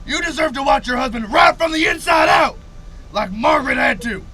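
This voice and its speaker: A man playing a bitter, dying older father and former firefighter in an audio drama, ranting and quick to anger. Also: very high-pitched, expressive, full character voice. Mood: enraged. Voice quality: wheezy, breathy from lung disease. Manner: ranting, embittered, quick to shout.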